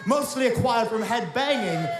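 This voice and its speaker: Cocky wrestler with a put-on British accent. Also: male voice, very high-pitched, animated, moderate pace, over music. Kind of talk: wrestling promo. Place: wrestling arena, indoors. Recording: echoey arena with crowd noise.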